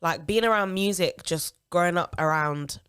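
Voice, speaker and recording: A woman's voice: lowish voice, normal speed, a bit bright, clear, resonant tone. A young woman from Leeds, a singer talking casually as a podcast guest, saying "like" a lot. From Leeds, England. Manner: chatty, peppers speech with "like". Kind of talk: casual interview chat.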